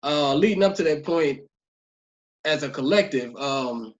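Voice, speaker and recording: Dull-sounding, fairly high-voiced male interviewer. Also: expressive, measured pace. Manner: agreeable, with frequent murmured affirmations. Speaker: podcast interviewer. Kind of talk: casual interview.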